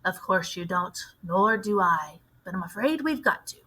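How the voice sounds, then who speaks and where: A woman voicing a firm, grimly knowing elderly grandmother in a storybook, with measured, grave delivery. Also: fairly low pitch, animated, sharp tone, breathy edge, full character voice. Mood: firm, regretful. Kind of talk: storybook read-aloud character voice.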